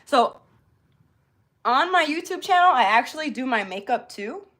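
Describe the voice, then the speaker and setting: A woman's voice: high-pitched, lively intonation, normal speed, a bit bright. A woman hosting a live beauty tutorial in an informal, chatty way, speaking directly to her viewers. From born in Jamaica, grew up in Florida, US. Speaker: beauty livestream host.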